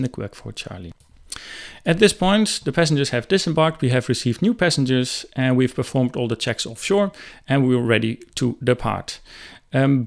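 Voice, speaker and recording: A man in his thirties explains things like an instructor, with a creaky, gravelly edge to a mid-pitched voice. He speaks as a pilot walking listeners through procedure, close on a desk microphone indoors. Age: 30s. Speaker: helicopter pilot explaining radio calls. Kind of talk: instructional commentary. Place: small room indoors.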